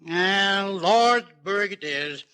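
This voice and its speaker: A nervous, simple stagecoach driver in an old radio play. The voice is very high and piercing, swinging widely, with a rustic twang. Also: male voice, lively intonation, very slow, pushed and bright, clean tone, full character voice. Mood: fretful. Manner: folksy, rustic, fretful. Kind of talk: old-time Western radio drama acting.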